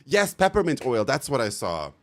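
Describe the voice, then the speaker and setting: A man streaming live, chatting casually with viewers in an animated way, swearing freely and laughing often. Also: medium pitch, moderate pace.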